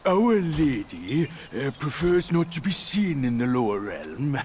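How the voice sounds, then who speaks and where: A man's voice: mid-range voice, sing-song, a little slow. An actor playing a brash, greedy male pig demon warrior who blusters and jokes, in a game cutscene with background noise. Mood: sly. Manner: blustering, loud and jokey.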